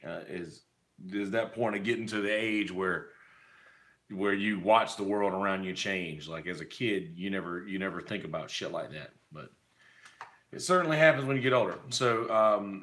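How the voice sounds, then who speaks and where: A hoarse man talks through a loose, casual monologue about his weekend, his voice worn rough. He sits fairly low in pitch yet sounds bright and forceful, mumbling, backtracking and restarting his sentences as he goes. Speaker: gigging musician.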